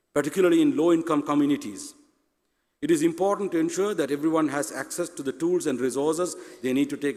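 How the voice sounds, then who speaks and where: A man's voice: on the high side, a little mellow. A middle-aged man with a Sri Lankan accent, a native Tamil speaker, gives a formal prepared speech as a conference speaker on stage. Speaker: university president, conference speaker. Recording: echoey hall with long reverb.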